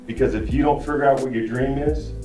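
A man's voice: mid-range voice, steady tone, quick pace, over music. Man speaking in a roomy conference hall. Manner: storytelling, conversational. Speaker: college basketball analyst and leadership speaker. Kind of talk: motivational speech to students. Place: large conference room. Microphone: handheld mic. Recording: roomy hall, far from camera.

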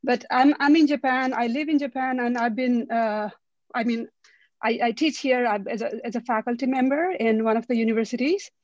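A woman's voice: on the high side, brisk, a little mellow, dry recording, muffled recording. A woman from Nepal, a university teacher, speaking politely and hesitantly on a video call, with many restarts. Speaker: university teacher asking a question. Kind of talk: audience remark on video call. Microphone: video call, camera off.

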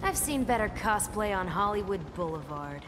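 A woman's voice: medium pitch, big pitch swings, normal speed, heavy background noise, close to an everyday voice. An actress playing a cocky, sarcastic young female soldier in a video game, flippant and snarky. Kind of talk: video game character dialogue.

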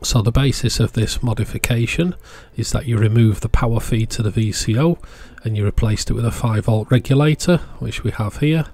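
A man's voice: medium pitch, brisk, hardly any pauses, darkish tone, faint music behind. A man giving a casual, plain-spoken voice-over, explaining hobby electronics as the channel's presenter. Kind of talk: casual product showcase voice-over.

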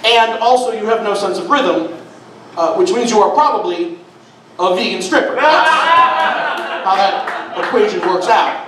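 A middle-aged man performing a sardonic stand-up comedy set on a small club stage, with deadpan asides and lots of "uh" fillers. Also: high voice, a little slow, heavy background noise. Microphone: handheld mic, filmed from the back of the room. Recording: echoey club audio from far off. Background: audience laughter.

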